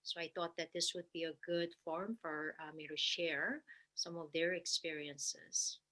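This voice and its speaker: A middle-aged woman speaks as a psychologist guest on a podcast. Her voice is low-pitched yet bright and crisp. She talks thoughtfully, with many "uh" pauses. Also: fairly even intonation. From Korea. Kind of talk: podcast roundtable discussion.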